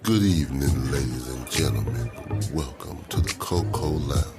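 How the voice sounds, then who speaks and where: Actor as a very deep-voiced lounge emcee. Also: male voice, normal speed. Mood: welcoming, showy. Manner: showman-like, formal. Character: booming, welcoming lounge open-mic emcee. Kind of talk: stage announcement in an audio drama. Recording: loud music behind the announcer.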